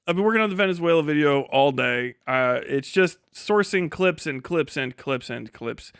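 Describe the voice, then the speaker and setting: A man's voice: a little high, lively intonation, moderate pace, a bit bright, clean tone, no room sound, studio quality. A tired man casually chatting and ranting on a livestream as its host, rambling and bluntly swearing. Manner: rambling, blunt, heavily profane. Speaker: livestream host and video creator.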